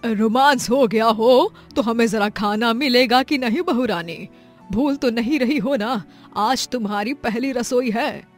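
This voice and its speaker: A woman's voice: fairly high pitch, a little slow, soft background music. Woman playing an emphatic older mother-in-law. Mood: teasing. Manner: emphatic, exclamatory. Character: bossy older mother-in-law, exclaiming and teasing.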